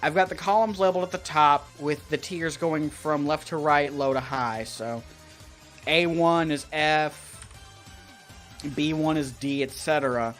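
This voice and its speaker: A man's voice: a little high, measured pace, fairly bright tone. A man co-hosting a livestream in casual chat, thinking aloud hesitantly into a close microphone. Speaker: live streamer co-hosting. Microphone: close XLR microphone on a stand. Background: music and keyboard typing.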